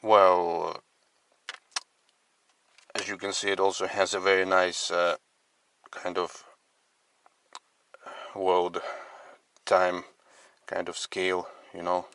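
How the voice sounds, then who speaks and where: A man giving a casual product demonstration as a collector, speaking in halting, piecemeal phrases. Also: low voice, completely flat delivery, a bit bright, clear, resonant tone. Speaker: radio collector presenting his collection. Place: inside a parked car.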